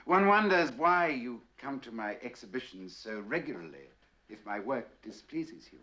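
An actor playing a proud, wounded middle-aged painter with a British accent, measured and challenging, in a 1960s horror film. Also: male voice, mid-range voice, lively intonation, pushed and bright. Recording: dull old film soundtrack.

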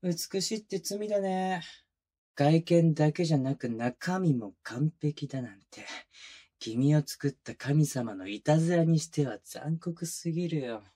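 Man acting a breathy, dark-voiced sadistic detective. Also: on the high side, a little slow. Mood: coaxing. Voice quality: breathy, husky. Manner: condescending, coaxing then commanding. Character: cold sadistic male detective, second twin, menacing and condescending. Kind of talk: Japanese situation voice drama, binaural ASMR. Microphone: close binaural microphone.

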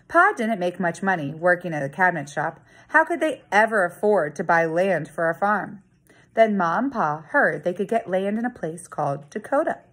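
A woman in her thirties, a teacher, reading a children's story aloud in a dramatic, expressive storytelling manner with deliberate emphasis. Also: lowish voice. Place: living room at home. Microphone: phone or tablet at arm's length.

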